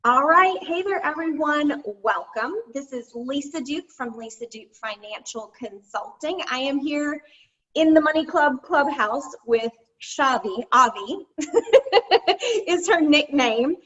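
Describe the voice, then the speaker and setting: A woman hosts an interview with bright, quick questions. Her voice is high, and it sounds distant and slightly dull, like remote audio. She keeps the conversation moving. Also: lively intonation. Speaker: host, financial consultant. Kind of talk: interview hosting.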